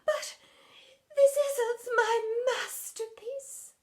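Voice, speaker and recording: A woman's voice: extremely high pitch, fairly even intonation, drawn-out words, a little mellow, voice pushed up, heavily acted. A woman voicing a gushing, theatrical drama teacher from a children's storybook, speaking in mock-Shakespearean style with wobbling emotion. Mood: tearful, grandiose.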